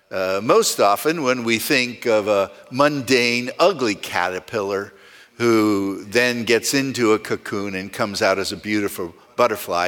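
A man's voice: fairly low pitch, fairly bright tone. A male pastor preaching a sermon in a conversational way, asking the congregation rhetorical questions.

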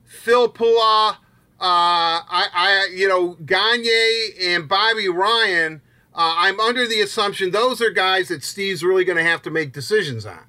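A man co-hosting a casual sports discussion, often repeating words haltingly as he begins a phrase. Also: high voice, pushed and bright. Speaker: sports podcast co-host.

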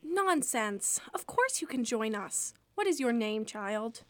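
A woman's voice: fairly high pitch, very animated, slow, no echo. A woman playing a kindly, generous lady caroler in a radio play, speaking in an encouraging way.

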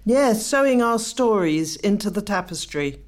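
A woman playing a practical townswoman in a radio drama, community-minded and plain in manner. Her voice is of medium pitch. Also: expressive, a little slow, a little mellow, lightly acted. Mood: companionable. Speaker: community theatre actor. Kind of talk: radio play acting.

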